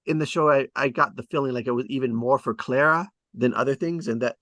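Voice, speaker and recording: A man's voice: medium pitch, a little fast, fairly bright tone, no echo, studio quality. A man hosting a table read, chatting casually and hesitantly about scriptwriting as he thinks aloud. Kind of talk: casual rambling discussion of screenwriting.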